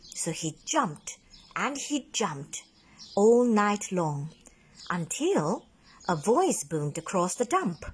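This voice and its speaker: Slow, expressive woman reading a bedtime story. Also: medium pitch, husky. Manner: deliberate, dramatic, drawn-out emphasis. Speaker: speech and drama teacher reading a story.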